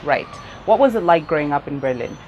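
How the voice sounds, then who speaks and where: A woman from Zimbabwe hosting a youth talk show, interviewing in a lively, encouraging way and laughing easily. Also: low-pitched, a little fast, muffled recording. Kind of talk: casual interview. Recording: faint background noise.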